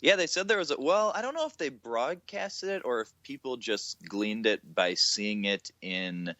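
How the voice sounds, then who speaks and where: Lively, bright-voiced male co-host. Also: mid-range voice, moderate pace, no echo, dull audio. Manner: joking, animated storyteller. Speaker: podcast co-host. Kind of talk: casual chat.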